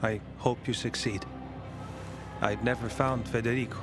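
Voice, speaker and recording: Man playing young game character, curious, earnest, medium-pitched voice, open manner, music underneath. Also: fairly even intonation, normal speed, lightly acted. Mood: sorrowful, earnest. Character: curious, earnest young son haunted by lost friend. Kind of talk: naturalistic game dialogue. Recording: music behind the voice.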